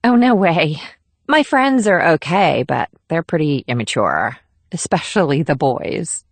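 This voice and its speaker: A woman's voice: sits low, expressive, unhurried, no echo, heavily acted. A woman playing an eager, pouty teenage girl in audiobook dialogue. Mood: gushing.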